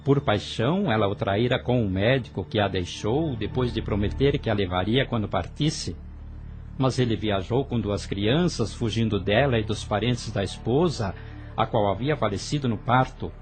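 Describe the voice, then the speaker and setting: A man's voice: fairly low pitch, normal speed, soft background music, natural voice. A man voicing a young brother in an audio drama, earnest and hesitant as he confesses. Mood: tense. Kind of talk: radio drama acting.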